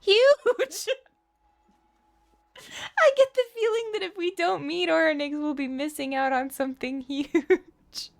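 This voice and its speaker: A woman's voice: high-pitched, slow, creaky. A woman streaming a game with casual, playful, joking live commentary.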